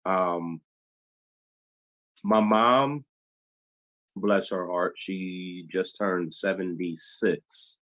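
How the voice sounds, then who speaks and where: A middle-aged man from Chicago hosts a relaxed interview in a very low voice. He talks slowly and unhurriedly, filling pauses with ums. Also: poor audio. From Chicago, USA. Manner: slow, unhurried talker with frequent ums. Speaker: podcast host. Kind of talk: casual interview chat.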